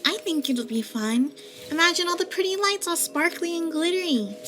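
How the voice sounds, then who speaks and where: A woman's voice: very high voice, animated, normal speed, pushed and bright, full character voice. A woman voicing a cheerful, wide-eyed young woman who used to be a sheep, in a scripted voice drama. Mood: eager. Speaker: guild member. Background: background music.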